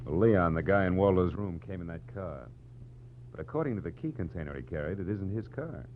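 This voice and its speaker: A deep-voiced tough American private eye, wry and clipped. He delivers wisecracks and cool narration in 1940s radio drama style. The old recording is narrow and muffled, with a faint hum. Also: lively intonation, fairly quick. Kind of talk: 1940s radio detective acting, first-person narration.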